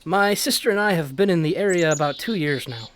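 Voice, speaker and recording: A man playing an eccentric, bookish naturalist and bug collector in a radio drama, speaking briskly and precisely. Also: a little high, fairly bright tone, slight background noise, lightly acted. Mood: matter-of-fact. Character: eccentric male naturalist and insect collector, chatty, matter-of-fact.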